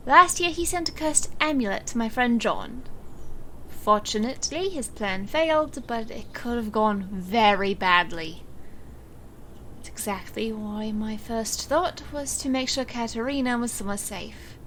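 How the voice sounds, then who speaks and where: A woman playing a guarded, resolute stage magician in an audio drama, speaking in a grave, measured, firm way. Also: fairly high pitch, animated, sharp tone, slight background noise. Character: resolute, guarded woman stage magician facing her murderous birth father.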